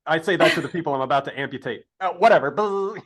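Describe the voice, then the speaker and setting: A man hosting an interview in casual conversation, digressive and halting, often correcting himself with a jocular streak. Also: fairly high pitch, lively intonation, fairly bright tone. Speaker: podcast interview host. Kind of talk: casual interview chat with rambling history asides.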